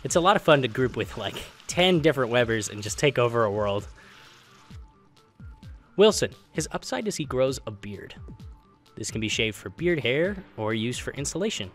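A man narrates a scripted video game guide with an informal, opinionated delivery. His pitch moves up and down in a lively way as he stresses his points. Music plays clearly behind his voice. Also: moderate pace. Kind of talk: scripted game explainer voice-over with casual asides. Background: background music.

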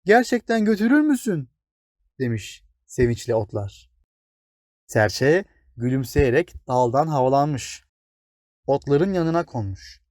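A man's voice: medium pitch, darkish tone, dry recording, studio quality. A man narrating a scripted fairy tale for children as a storyteller.

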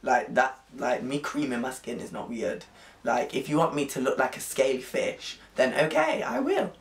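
Hoarse chatty young English man. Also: mid-range voice, normal speed, roomy sound. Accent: Nottingham English. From England. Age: young adult. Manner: chatty, animated, playful slang. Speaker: vlogger. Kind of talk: casual chat to camera. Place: bedroom at home, indoors.

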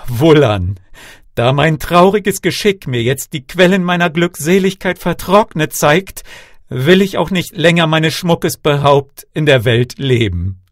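A man's voice: fairly high pitch, expressive, measured pace, no room sound, studio quality. A man voicing a young queen maddened by grief, lamenting her children in a wailing, declamatory way. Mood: despairing resolve.

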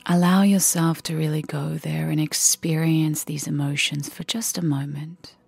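A woman with a low, husky voice leads a guided meditation, often sinking toward a whisper. Her words come softly and gently, with long pauses and plenty of gravelly fry. The sound is close and very dry. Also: little pitch movement, no room sound, faint music behind. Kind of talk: guided meditation, soft and soothing delivery.

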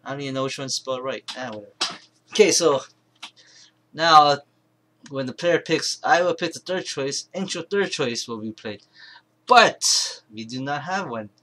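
A man presenting an informal software tutorial, thinking aloud in a halting, rambling way and correcting himself as he goes. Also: mid-range voice, animated. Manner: halting, rambling, self-correcting, mumbled asides. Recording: clear background noise, keyboard and mouse clicks.

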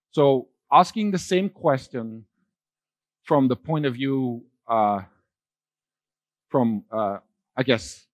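A middle-aged man giving a conference keynote on a large dark stage, talking loosely with fillers and restarts. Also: mid-range voice, dry recording. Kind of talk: keynote talk with slides.

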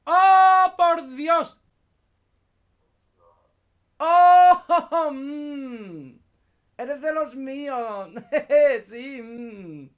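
A man putting on a flirty, over-excited comic character, moaning and exclaiming suggestively in an online video chat prank. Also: very high voice, drawn-out words, pauses often, lo-fi sound, full character voice. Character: flirty, over-excited blocky cardboard-headed character, campy and lustful. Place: indoor room at a computer webcam.

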